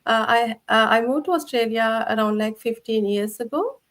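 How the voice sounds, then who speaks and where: A woman's voice: fairly high pitch, normal speed, a bit bright. A woman from Sri Lanka introducing herself on a live online panel, polite and a little nervous.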